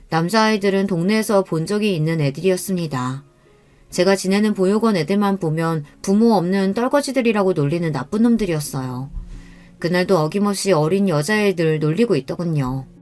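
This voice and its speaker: A woman calmly narrating a first-person life story in an even, scripted manner. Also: fairly low pitch, dry recording.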